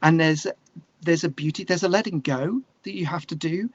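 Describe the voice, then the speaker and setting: A man talking casually in an interview, opinionated and animated, thinking aloud and correcting himself as he goes. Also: a little high, moderate pace, highs cut off. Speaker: interview guest, musician and songwriter.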